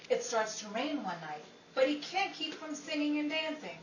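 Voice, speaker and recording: A woman playing an excitable, aggrieved 1950s Hollywood actress, theatrical and emphatic, in the style of an old-time radio comedy. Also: high voice, moderate pace, reverberant, distant mic, slight background noise. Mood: indignant, dramatic.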